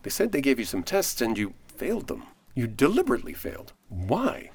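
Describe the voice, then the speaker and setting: A man's voice: medium pitch, wildly swooping pitch, brisk, slightly rough, heavily acted. A man playing an earnest, persuasive, idealistic lawyer in a radio play. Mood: pleading. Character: earnest, idealistic male lawyer defending a friend.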